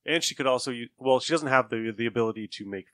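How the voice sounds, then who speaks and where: Bright-voiced man in casual panel chat. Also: mid-range voice, fast, no room sound, clean studio sound. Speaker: podcast co-host.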